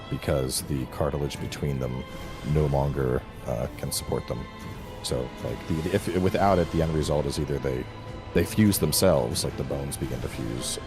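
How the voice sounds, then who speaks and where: Super deep voiced guy in his 30s, blunt casual streamer banter with some swearing, music clearly going behind him. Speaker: gaming streamer.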